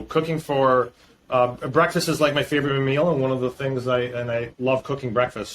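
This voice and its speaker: A middle-aged man chats as a podcast guest, friendly and talkative, often stopping to restart his thoughts with an um. His voice sits at an ordinary pitch. A faint hiss runs behind him on a remote call. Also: a bit bright. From Boston area, US. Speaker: podcast guest, fintech founder. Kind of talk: casual interview chat.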